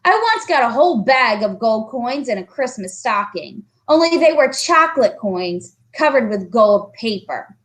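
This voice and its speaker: A woman's voice: on the high side, big pitch swings, unhurried, hardly any pauses, a bit bright. A woman voicing a smug, self-satisfied young boy in a storybook reading. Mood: doubtful. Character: John, a smug young boy with a sweet tooth.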